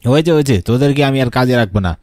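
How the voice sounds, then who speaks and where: A man's voice: mid-range voice, expressive, rapid-fire, a little mellow, no echo, natural voice. An actor playing a suspicious, bullying landowner in a horror cartoon, scolding and accusing his workers. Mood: angry accusing. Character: suspicious, bullying male landowner and farm master. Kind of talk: dramatised horror cartoon dialogue. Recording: music bed under the voice.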